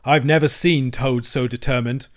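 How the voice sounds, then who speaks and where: A man's voice: medium pitch, steady tone, normal speed, natural voice. Male reader as storybook badger, stern, solemn, oratorical, firmly in charge. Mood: resolute. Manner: solemn, commanding, speechifying. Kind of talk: storybook character voice.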